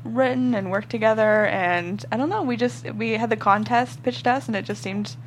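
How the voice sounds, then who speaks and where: A woman's voice: fairly high pitch, normal speed, slight background noise, poor audio. A young woman, a screenwriter guest, chatting casually in an interview. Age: young adult. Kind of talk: casual chat.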